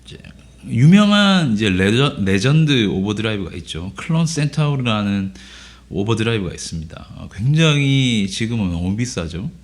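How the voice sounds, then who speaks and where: A man speaks in a somewhat low register, his voice hoarse from a cold. He explains guitar pedals in an unhurried, conversational way, pausing often with filler sounds as he thinks. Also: sing-song. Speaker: guitar teacher. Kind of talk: casual gear demo lesson.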